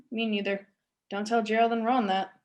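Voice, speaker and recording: Bold teenage boy, read by a woman, highish voice. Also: fairly even intonation, normal speed, muffled recording, close to an everyday voice. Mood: bold, teasing. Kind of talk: table read of a script.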